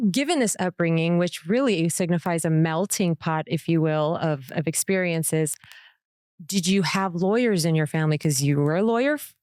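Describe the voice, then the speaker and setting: A woman's voice: lowish voice, darkish tone, dry recording, studio quality. A woman hosting an interview podcast, enthusiastic and affirming, often backing her guest with short agreements. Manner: enthusiastic and affirming, with frequent mm-hmms. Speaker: podcast host.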